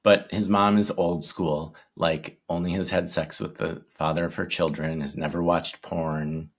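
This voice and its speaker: A man in his forties, a guest in a casual interview, talking in a shy, halting way with many ums and restarts. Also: low voice, flat delivery. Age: 40s.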